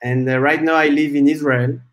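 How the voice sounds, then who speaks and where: Man from france talking tech on a webcam, measured pace, lots of uhs, home room. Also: on the high side, fairly even intonation, highs cut off. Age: 40s. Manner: measured, frequent "uh" fillers. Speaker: guest speaker, software developer. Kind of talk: conference talk presentation. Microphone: video call webcam.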